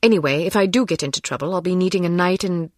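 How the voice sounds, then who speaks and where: A woman's voice: on the low side, quick pace, dry recording, close to an everyday voice. An actress playing a poised, self-assured female spy with a dry, sarcastic manner in a video game. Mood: drily indignant. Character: cool, witty, self-assured female spy, drily defiant.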